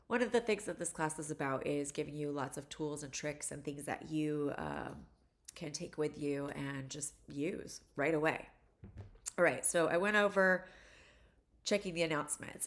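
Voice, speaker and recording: A low-pitched woman in her forties with a stuffed-up, congested voice from allergies. She talks through a course introduction like a friendly college instructor, chatty and loose with plenty of "um" and "like". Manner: chatty, rambling, many "like" and "um" fillers. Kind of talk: course introduction video, casual lecture.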